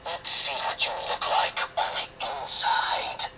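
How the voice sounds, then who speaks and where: A man voicing a menacing, taunting masked horror killer through an electronic voice changer, with a gravelly, distorted sound. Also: sharp tone, a little hiss or hum. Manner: slow, taunting, sinister.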